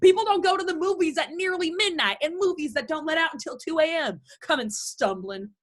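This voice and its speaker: A woman's voice: fairly high pitch, animated, moderate pace, fairly bright tone. A woman playing a domineering, prim middle-aged Southern mother in a stage play reading, theatrical and chattering. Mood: scolding, outraged. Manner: theatrical, indignant, gushing.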